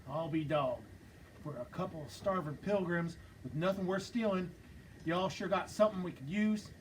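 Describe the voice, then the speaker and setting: A man's voice: high voice, normal speed, slight background noise, natural voice, rough recording. A man reading a smirking, menacing male outlaw gang leader in a script table reading, with drawling, bossy threats. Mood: taunting.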